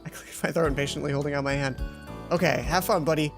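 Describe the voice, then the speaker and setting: A woman's voice: sits low, fairly even intonation, quick pace. A woman hosting a let's play, reading game narration aloud with casual asides. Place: closed hot room at home. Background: music.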